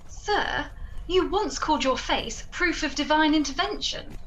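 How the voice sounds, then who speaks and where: A woman playing a sharp-tongued, exasperated adventurer in a comic radio drama. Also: high-pitched, lively intonation, a little slow, slight background noise, rough recording. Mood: teasing.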